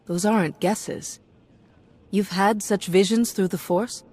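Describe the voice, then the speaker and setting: A calm jedi hero in a video game, formal and measured, earnest, asks stuff. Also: female voice, medium pitch, breathy edge. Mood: curious, composed. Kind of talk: scripted video game dialogue.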